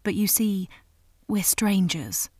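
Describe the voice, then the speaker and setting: Polite, earnest storybook boy, as a woman reader puts him on. Husky, close and dry. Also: mid-range voice, animated, slow, a little mellow, no room sound.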